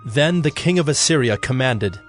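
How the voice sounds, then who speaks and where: A man narrating scripture from a script in a solemn, measured, formal manner. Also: medium pitch, lively intonation, faint music behind.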